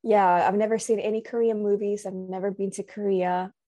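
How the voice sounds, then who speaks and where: A woman's voice: medium pitch, fairly even intonation, a little fast, dark tone, muffled recording. Chatty young woman from Finland. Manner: chatty, thoughtful, many fillers and restarts. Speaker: podcast guest, entrepreneur. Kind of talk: casual podcast chat.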